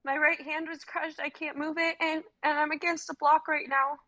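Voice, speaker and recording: A man voicing a frightened young woman trapped under rubble, in a high strained falsetto. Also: flat delivery, moderate pace, bright, forceful tone. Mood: panicked.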